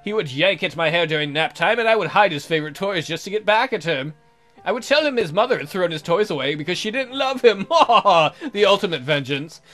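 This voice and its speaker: A man's voice: high voice, lively intonation, brisk, fairly bright tone, faint music behind. A man hosting a let's play, reading a visual novel aloud in a jokey, theatrical, exaggerated way with comic asides.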